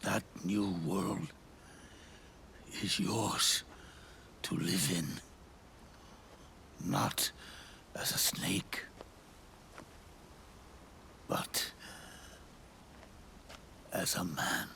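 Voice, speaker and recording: An actor playing a weary, dying old soldier in a video game cutscene, solemn and halting, with a weak, breathy rasp. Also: male voice, low-pitched, sharp tone, slight background noise, exaggerated voice. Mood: solemn, resigned. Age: older. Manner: slow, halting, solemn, with long pauses. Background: orchestral music.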